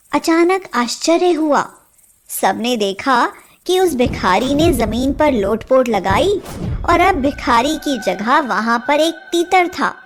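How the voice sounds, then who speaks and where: A woman narrates a children's tale in a fairly high voice. She takes her time over every sentence, with clear music playing behind her. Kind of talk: scripted children's story narration.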